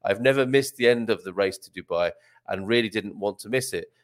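A man's voice: fairly low pitch, a little fast. A man hosting a casual live stream, reading items aloud and rambling off into jokey asides. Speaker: host of an expat live stream.

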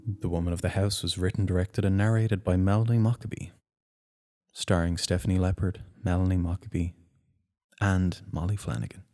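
Deep, dark-voiced man reading credits. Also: fairly even intonation, fairly quick. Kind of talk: scripted credits announcement.

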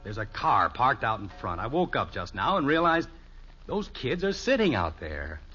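A man's voice: medium pitch, sing-song, normal speed, pushed and bright, faint music behind. An actor playing a fretful, affectionate middle-aged American father in a radio situation comedy. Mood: worried, indignant. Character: fretful, affectionate suburban father, easily flustered. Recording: muffled old radio, hissy with clicks.

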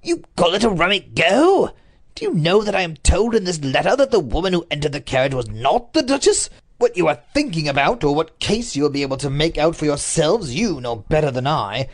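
A reader voicing an imperious aristocratic duke who speaks grimly and bitterly. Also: male voice, a little high, sing-song, brisk, voice pushed up. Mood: stern, angry. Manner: grim, bitter, commanding.

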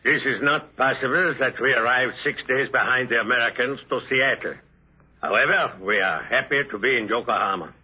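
An actor playing a stiff, indignant German officer with a German accent in a 1950s radio drama. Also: male voice, mid-range voice, moderate pace, husky, lightly acted. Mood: stiff, affronted. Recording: dull 1950s radio recording.

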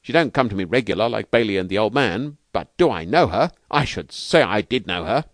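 A man narrator puts on a tough, good-hearted trainer from a novel. He talks in brash street slang with emphatic swings of pitch, close and dry. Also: expressive, fairly quick, no room sound. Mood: chummy, sympathetic.

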